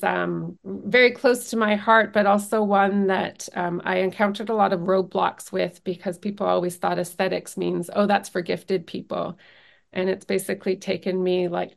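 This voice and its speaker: A middle-aged woman whose first language is French, speaking as a guest researcher in an interview, thoughtful with frequent pauses and restarts. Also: mid-range voice, vocal fry. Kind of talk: academic interview conversation.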